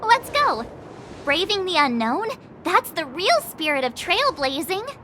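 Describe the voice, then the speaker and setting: A woman's voice: extremely high pitch, big pitch swings, unhurried, pushed and bright, a little hiss or hum, exaggerated voice. A woman playing a perky, cocky teenage girl adventurer in a video game, breezy and sassy. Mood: confident, breezy. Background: music.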